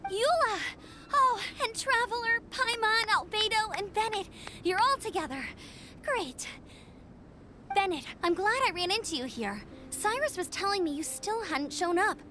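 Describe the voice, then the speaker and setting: A woman voicing a cheerful, energetic young female scout in a video game, talking in an upbeat, eager way over game music. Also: very high voice, unhurried, slight background noise, heavily acted.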